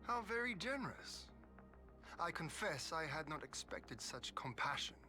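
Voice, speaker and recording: Casting: noble game lord, formal and wry, very bright voice with lively, wide intonation. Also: male voice, on the high side, soft background music, exaggerated voice, low-quality recording. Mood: dry politeness. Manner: formal, measured, dryly polite. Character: composed, courteous, wryly wary young lord. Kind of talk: dramatic video game acting.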